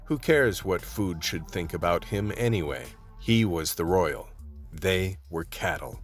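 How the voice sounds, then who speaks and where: Hard-boiled cop narrator played by a man: low, weary, measured, radio play with faint music underneath. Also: expressive. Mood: grim, measured. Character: world-weary male undercover vice cop telling his story.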